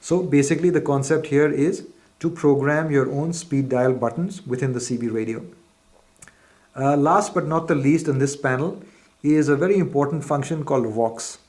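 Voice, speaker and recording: A man's voice: on the high side, moderate pace, a little mellow. A man presenting an unscripted instructional walkthrough as a product demonstrator, explaining methodically in fairly formal wording.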